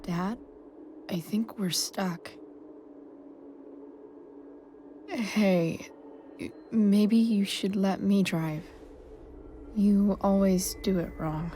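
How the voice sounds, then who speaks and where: An actress playing a wounded, guarded teenage girl in a video game, with halting, hushed delivery and a gravelly edge. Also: mid-range voice, steady tone, slight background noise, natural voice. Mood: wry, exasperated. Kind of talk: naturalistic video game acting.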